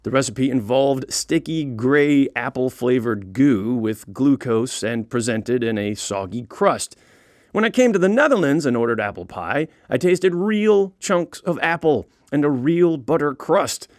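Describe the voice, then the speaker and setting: Animated American man narrating a comic audiobook. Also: medium pitch, a little slow, no room sound, studio quality. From United States. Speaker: author narrating his own audiobook, comedian. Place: recording studio.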